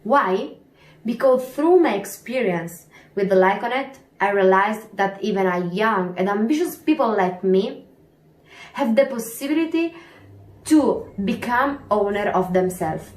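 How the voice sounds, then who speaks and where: A woman's voice: medium pitch, lively intonation. Young Italian woman, echoey testimonial. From northern Italy. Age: early 20s. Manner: earnest, slightly halting English. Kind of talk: testimonial video.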